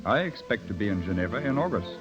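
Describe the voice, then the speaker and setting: An actor playing a suavely polished cosmopolitan banker, courtly and seductive, in 1930s radio drama style. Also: male voice, fairly low pitch, big pitch swings, quick pace. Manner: smooth-mannered, formal, courtly. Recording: dull 1930s radio recording with music behind.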